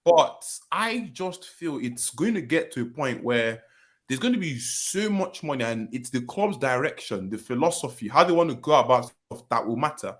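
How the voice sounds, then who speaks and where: A man speaking as a guest in a casual chat, in an animated and excitable way. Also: medium pitch, normal speed.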